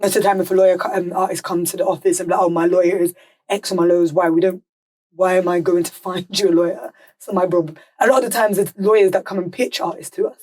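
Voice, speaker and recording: Fast-talking, blunt woman. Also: lowish voice, fairly even intonation, darkish tone. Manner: blunt, emphatic, assured. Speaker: podcast guest, music legal and business affairs manager. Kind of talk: casual panel discussion.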